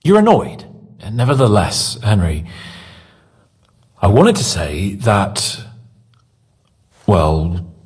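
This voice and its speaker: An actor playing a cocky, excitable young nobleman in a video game, with a husky voice and a theatrical manner. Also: on the low side, extremely slow, long pauses, exaggerated voice. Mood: sheepish. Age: young adult. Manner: theatrical, animated.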